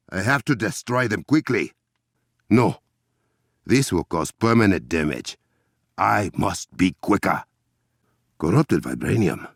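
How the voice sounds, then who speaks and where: A man playing a noble, resolute warrior king in a video game, with an African accent, a deep gravelly voice and formal, measured diction. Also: dry recording, clean studio sound, heavily acted. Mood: urgent, determined.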